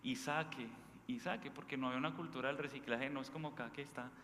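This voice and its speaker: Colombian priest preaching in an echoey hall. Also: male voice, mid-range voice, fairly even intonation, fast talker, fairly bright tone. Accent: Colombian, from Bogotá. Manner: conversational, emphatic, often checks listeners with short questions. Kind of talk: informal sermon, preached talk with anecdotes. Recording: echoey hall with faint background noise.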